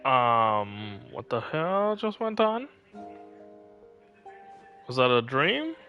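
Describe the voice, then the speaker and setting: A man streaming a game live, reacting loudly and slowly in puzzlement. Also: mid-range voice, sing-song, highs cut off, faint music behind. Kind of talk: casual live gameplay commentary.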